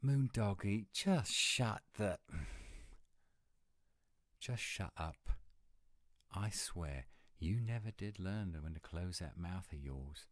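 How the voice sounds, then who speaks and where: Man playing a deep-voiced grumpy British werewolf. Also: animated, slow, long pauses, dry recording, clean studio sound. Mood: irritated. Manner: curt, exasperated, scolding. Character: grumpy, exasperated British male werewolf, gruff protector. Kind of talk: scripted audio roleplay.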